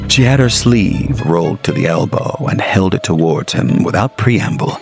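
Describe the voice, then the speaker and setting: A man narrating a scripted gothic tale in a grave, deliberate manner. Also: deep voice, lively intonation, vocal fry, music in the background.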